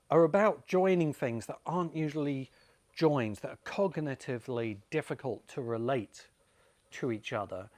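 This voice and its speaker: A man presenting an explanatory video essay like a lecturer, with emphatic, deliberate phrasing and dramatic pauses. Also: mid-range voice, a little mellow. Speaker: presenter and writing course teacher.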